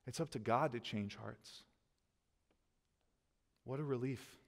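A man's voice: mid-range voice, slow pace, gravelly. A man in his thirties, a pastor preaching at a lectern, earnest and gesturing as he quotes scripture. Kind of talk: sermon. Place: church stage behind a lectern, indoors. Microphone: headset mic. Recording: long hall reverb.

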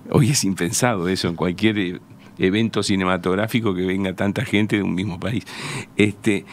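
A man in his sixties with a Uruguayan accent, reminiscing in a measured, hesitant way as a guest in a radio interview. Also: deep voice, steady tone, slight background noise. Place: small radio studio. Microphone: close studio microphone on a stand.

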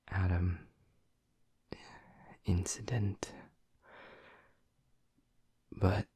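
A man's voice: low voice, husky, dry recording, exaggerated voice. A man playing a flirty, whispering vampire. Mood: tender. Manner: soft, intimate whispering; teasing and affectionate. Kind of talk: ASMR audio roleplay.

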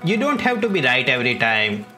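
A woman's voice: extremely low pitch, big pitch swings, a little slow. A woman streamer giving casual, chatty, teasing reaction commentary on a game. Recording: game music under the voice.

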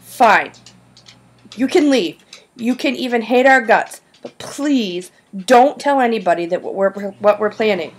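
A woman's voice: medium pitch, expressive, moderate pace, slightly rough. A woman voicing an earnest schoolboy schemer in an audiobook, insistent and persuasive. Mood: desperate. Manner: insistent, pleading. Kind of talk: audiobook character dialogue.

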